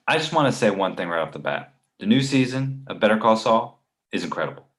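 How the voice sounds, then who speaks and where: A man's voice: fairly low pitch, lively intonation, a little fast, a bit bright. A man playing a nervous, nagging confidant who argues and worries aloud in a screenplay table read. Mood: cautioning. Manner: argumentative, worrying aloud. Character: Victor, nervous nagging male confidant of a writer.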